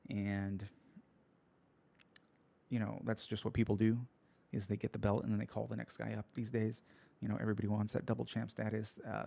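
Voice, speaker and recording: A man hosting a casual solo fight recap, with a sleepy, croaky voice, rambling through fillers and restarts and swearing freely. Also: mid-range voice, mellow. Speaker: podcast host.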